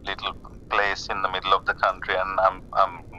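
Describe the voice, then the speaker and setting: A man in his forties with a Sri Lankan accent, talking casually and haltingly over a muffled, rumbling phone call. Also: monotone, sharp tone. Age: 40s. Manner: hesitant, halting, repeats words. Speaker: caller, lay Buddhist practitioner. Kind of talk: casual conversation about personal spiritual path.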